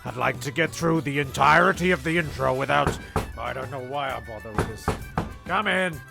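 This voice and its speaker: A man's voice: mid-range voice, moderate pace, creaky, music in the background. A man narrating a comedy audio show, mock-serious and prim, stammering, exasperated and prone to swearing. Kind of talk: mock-serious scripted narration with ad-libbed banter.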